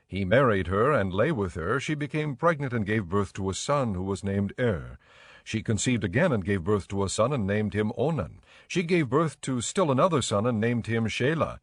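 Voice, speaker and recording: A man reading scripted scripture narration in a measured, solemn storytelling manner. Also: lowish voice, dry recording.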